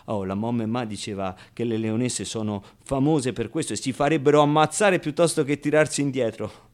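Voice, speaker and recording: A naive young orphan boy, acted by a man in a medium-pitched voice, tells his own story in a radio play. He is streetwise and tenderly confiding, with halting pauses and repeated words as he goes. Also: natural voice. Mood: wistful, animated. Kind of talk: theatrical radio monologue, first-person storytelling.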